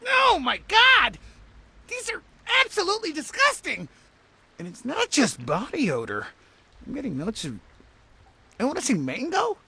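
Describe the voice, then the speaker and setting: A man voicing an excitable, fussy scientist in a cartoon game, expressive and wordy, with a husky, creaky voice. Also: very high voice, measured pace, pauses often, full character voice. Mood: appalled. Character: excitable, fussy scientist, eager and easily appalled. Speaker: voice actor in a game cutscene. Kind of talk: animated cartoon game acting.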